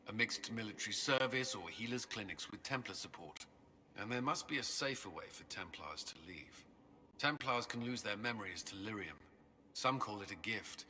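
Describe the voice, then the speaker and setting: Solemn templar knight, haunted and guilty, low but bright forceful voice. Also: male voice, a little hiss or hum. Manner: solemn, earnest, measured. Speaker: game character.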